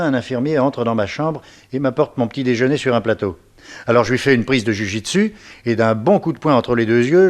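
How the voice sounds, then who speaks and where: Actor playing plucky sidekick, medium pitch, breezy, colloquial, slangy storyteller, sixties radio drama. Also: male voice, close to an everyday voice. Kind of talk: 1960s French radio serial acting.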